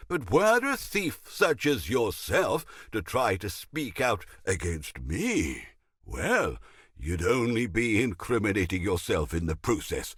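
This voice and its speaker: A pompous middle-aged naval captain who fences goods on the side, a schemer from a video game. His pitch swings wildly from low murmurs to high flourishes, and he takes his time, savoring each word with oily theatrical relish. The sound is close and dry. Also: huge pitch swings, slow pace, a bit bright, breathy edge, dry recording, exaggerated voice. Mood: sly, conspiratorial. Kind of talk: video game character dialogue.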